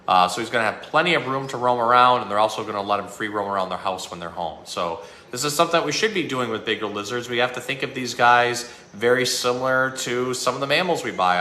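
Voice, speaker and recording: A man's voice: lowish voice, pushed and bright, slight background noise. A man presenting animals as a zookeeper, talking casually and informatively in a roomy space. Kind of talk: casual educational talk about animals. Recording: echoey, roomy sound.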